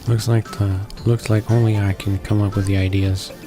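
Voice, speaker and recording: Guy reading game text out loud, flat n lowish, stumbles a bit, music behind. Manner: halting, stumbles over words. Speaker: let's play reader.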